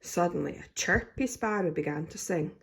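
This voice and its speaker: A woman's voice: on the low side, moderate pace, husky. A woman narrating a children's story expressively as a storybook reader.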